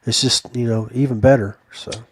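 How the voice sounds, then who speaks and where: A man's voice: mid-range voice, steady tone, moderate pace, breathy edge, no echo. An American man hosting a podcast, chatting casually with his guest. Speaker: podcast host. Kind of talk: casual interview chat.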